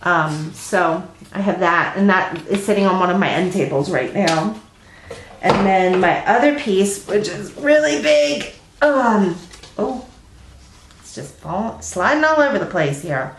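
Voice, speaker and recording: A woman's voice: medium pitch, animated, a little slow, a little hiss or hum. Stuffed-up middle-aged woman rambling about her stitching at home, lots of ums, kinda roomy echo. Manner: rambling, chatty with many ums. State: congested with a cold. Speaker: flosstube host. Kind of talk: casual cross-stitch vlog chat. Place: at home, indoors.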